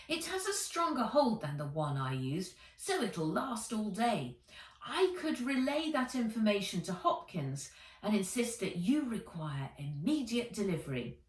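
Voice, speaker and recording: A woman's voice: mid-range voice, very animated, unhurried, voice pushed up. A woman voicing a loyal, logical male dragon robot for a children's storybook, speaking in formal, precise wording. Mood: eager, efficient. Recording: roomy echo, distant microphone.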